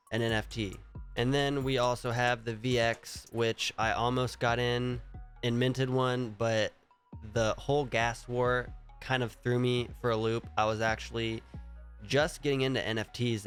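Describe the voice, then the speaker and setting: A man's voice: mid-range voice, fairly bright tone. A man with a stuffy, congested voice from a cold, casually talking through projects on his screen, rambling and thinking aloud. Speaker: crypto vlogger. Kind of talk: casual screen-share commentary.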